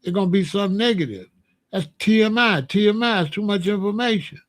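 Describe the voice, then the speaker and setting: A man with a somewhat high voice runs his own opinion show. He speaks bluntly in loose street slang and keeps firing rhetorical questions. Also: lively intonation, fast talker. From Chicago. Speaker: show host. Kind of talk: casual opinion monologue.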